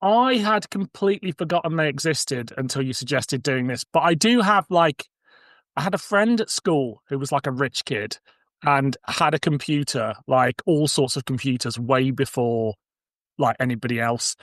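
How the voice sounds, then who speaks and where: A man's voice: mid-range voice, normal speed, fairly bright tone, dry recording. A man in his forties hosting a podcast, switching between casual chat and scripted summaries. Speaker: podcast host.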